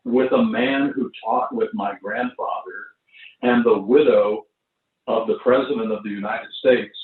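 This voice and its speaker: A man in his seventies or older telling personal stories casually, in an unhurried, halting, anecdotal way, over roomy, distant, thin internet audio. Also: mid-range voice, expressive, phone-quality sound. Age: 70s or older. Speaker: veteran performer telling stories. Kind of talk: casual reminiscing at an online launch party.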